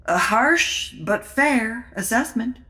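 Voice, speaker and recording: Woman acting a cool sardonic lady in a radio mystery, slow drawn out lines, swooping pitch. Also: lively intonation, sharp tone, full character voice. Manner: sardonic, deliberate. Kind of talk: comedy audio drama acting.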